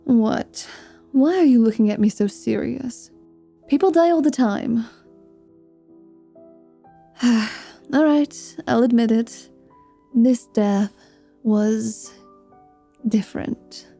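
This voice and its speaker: A woman's voice: a little high, slow, gravelly, long pauses, mellow, soft background music, exaggerated voice. A woman voicing a flirty, world-weary female dhampir mercenary in a fantasy ASMR roleplay, teasing and dry with dramatic flair. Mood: sardonic, brooding.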